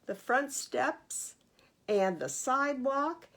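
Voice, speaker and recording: A woman with a fairly high voice reads a children's story aloud. She takes it very slowly, giving each phrase room. Her delivery is expressive, as a storytime librarian would be, and she speaks directly to her young listeners. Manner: expressive, asking listeners questions. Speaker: librarian reading stories to children. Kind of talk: read-aloud children's storytime narration with asides.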